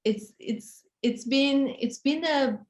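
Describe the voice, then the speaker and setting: Halting woman. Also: high-pitched. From Japan. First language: Japanese. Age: 30s. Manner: soft-spoken, halting, frequent fillers and restarts. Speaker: interviewee, model and author. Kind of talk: casual interview answers. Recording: roomy echo.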